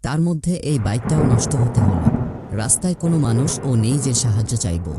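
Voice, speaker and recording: Man acting an anxious cartoon older brother, swinging pitch. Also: big pitch swings, fast talker, very noisy, close to an everyday voice. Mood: worried. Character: anxious older brother stranded alone at night. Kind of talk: dubbed cartoon horror dialogue.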